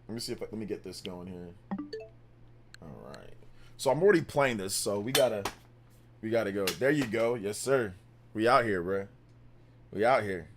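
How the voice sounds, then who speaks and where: A man's voice: lowish voice, lively intonation, moderate pace, long pauses, fairly bright tone, faint music behind. A man in his fifties hosting a casual gaming livestream, rambling and correcting himself as he talks to chat, laughing often. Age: 50s. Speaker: livestream host.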